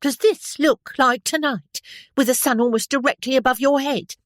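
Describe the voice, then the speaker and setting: A narrator voicing a bold young army washerwoman who scolds an officer, high and loud, with a timbre unlike the narration. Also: normal speed, no room sound. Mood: scolding. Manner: bossy, scolding.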